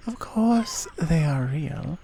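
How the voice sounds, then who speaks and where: A woman playing a regal, patient Amazonian queen, proud and wary of humans, in an intimate ASMR roleplay with measured, breathy half-whispers. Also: sits low, expressive, warm tone, slight background noise, heavily acted. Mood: grave, knowing.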